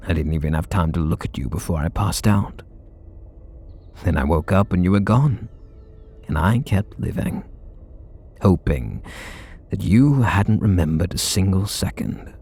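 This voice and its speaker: A man voicing a brooding, guarded male super villain in an ASMR audio roleplay, speaking softly and confidingly with blunt swearing. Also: heavily acted. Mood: confessional. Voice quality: low gravelly, husky voice.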